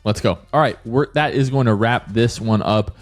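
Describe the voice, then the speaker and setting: A man's voice: medium pitch, moderate pace, soft background music. An American man hosting a sports podcast in a casual, chatty style.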